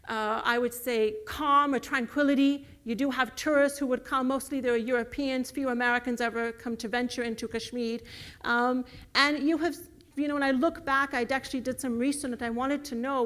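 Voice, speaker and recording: A woman's voice: high voice, a bit bright. A woman whose first language is Urdu, giving an earnest lecture with slides and building emphasis on key words. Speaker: guest lecturer.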